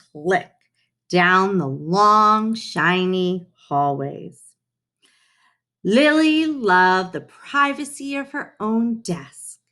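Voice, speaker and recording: A woman reading a children's storybook aloud in an expressive way, with dramatic pauses and playful touches. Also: medium pitch. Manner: animated, unhurried, dramatic pauses, playful asides.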